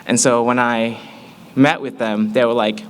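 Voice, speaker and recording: A young man in his early twenties with an American accent, giving a humorous personal testimony to an audience in an animated, playful storytelling manner. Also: mid-range voice, unhurried, a little hiss or hum. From Malaysia.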